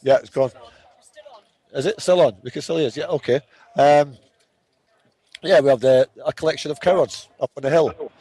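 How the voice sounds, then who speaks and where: A man's voice: fairly high pitch, normal speed, long pauses, warm tone, husky, rough recording. A man hosting a live broadcast with casual commentary, often repeating and restarting his phrases.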